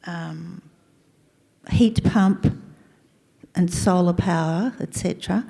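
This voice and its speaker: Unhurried Australian woman, mellow tone, hesitant delivery. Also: on the low side, creaky, in a large hall.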